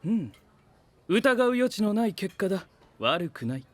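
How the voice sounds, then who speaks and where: A man's voice: high-pitched, very animated, measured pace, a bit bright, no echo, full character voice. An actor playing a composed, pedantic young male scientist knight with formal, logical phrasing in an anime-style voice drama. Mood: cool, analytical.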